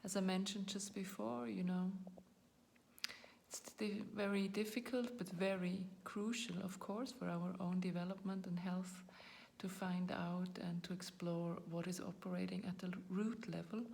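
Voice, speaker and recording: Host, woman, 40s, non-native English, flat gravelly delivery, soft faint noise behind, reflective and searching for words. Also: on the low side, a little slow. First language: not English. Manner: reflective, searching for words, often trails into a whisper. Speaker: YouTube channel host. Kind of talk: personal talk to camera.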